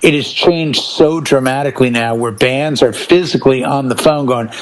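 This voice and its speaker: A man co-hosting a casual talk show, telling stories in an animated way and acting out bits of conversation. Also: mid-range voice, normal speed, poor audio. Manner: animated storytelling, acting out imagined phone calls. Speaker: podcast co-host, working DJ. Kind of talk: casual chat, storytelling.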